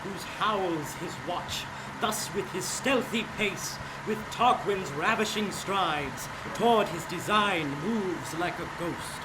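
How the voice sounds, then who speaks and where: Casting: male performer for a fretful, imperious stage actor in radio theatre; high voice over heavy vehicle noise. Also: slow. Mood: impatient. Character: anxious, demanding stage actor riding as a passenger. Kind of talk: live radio theatre acting. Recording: very noisy live radio play.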